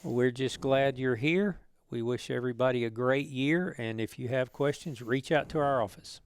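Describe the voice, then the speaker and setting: A man's voice: mid-range voice, normal speed, smooth, no room sound. A man giving informal explanatory remarks as a panelist on a webinar.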